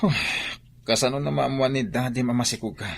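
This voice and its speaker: Careless young student boyfriend. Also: male voice, mid-range voice, a little fast, creaky. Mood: flustered. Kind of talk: Ilocano radio drama acting.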